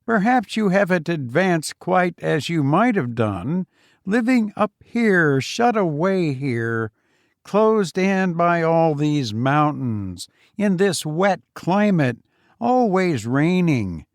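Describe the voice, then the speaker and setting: A man's voice: fairly high pitch, very animated, takes it slowly, no room sound, studio quality, pitched above the performer's own voice, exaggerated voice. A man voicing a smug, patronizing, chatty English writer with a gushing, falsely modest manner in an audiobook story. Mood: patronizing, effusive. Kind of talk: acted dialogue in a literary audiobook.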